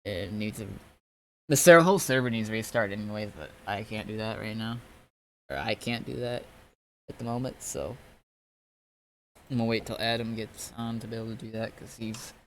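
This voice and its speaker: A man's voice: lowish voice, moderate pace, pauses often, a little hiss or hum, low-quality recording. A man chatting casually over gameplay in a hesitant, rambling way, as a streamer would. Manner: rambling, hesitant, repeats himself. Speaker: streamer and server admin. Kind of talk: casual gameplay commentary and chat.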